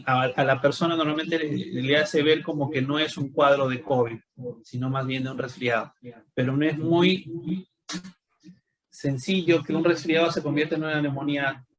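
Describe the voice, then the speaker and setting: A man's voice: fairly high pitch, steady tone, moderate pace, a crowd in the background, low-quality recording. A man giving an explanatory medical lecture, often posing rhetorical questions. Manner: didactic, rhetorical questions with tag "no?". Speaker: doctor giving a talk.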